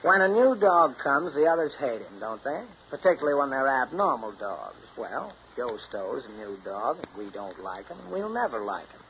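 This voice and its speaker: A man's voice: medium pitch, sing-song, moderate pace, few pauses. An actor playing a blunt, misanthropic man with a hesitant yet insistent manner, in 1930s radio drama style. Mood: bleak, insistent. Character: Sid, blunt misanthropic son of eccentric inbred family. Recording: muffled noisy 1939 radio broadcast.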